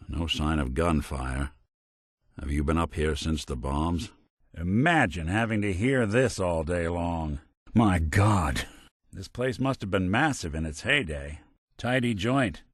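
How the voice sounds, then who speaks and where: Deep-voiced, world-weary robot detective. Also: male voice, dry recording, clean studio sound, full character voice. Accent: American. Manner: wry, world-weary, streetwise drawl. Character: world-weary, wry, decent robot private detective, male, middle-aged. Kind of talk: video game character voice lines, hard-boiled noir detective.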